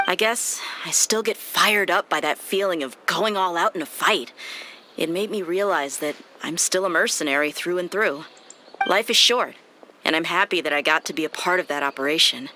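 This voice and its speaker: Confident low-voiced mercenary. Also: female voice, moderate pace, pushed and bright, slight background noise. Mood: confident, frank. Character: confident, tough young female mercenary, candid and unshakeable. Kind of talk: video game character acting. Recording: music behind the voice.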